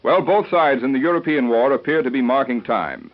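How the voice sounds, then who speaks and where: A man's voice: medium pitch, expressive, fairly quick. An American man reading scripted copy as a 1930s radio announcer. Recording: muffled 1939 radio aircheck, music behind.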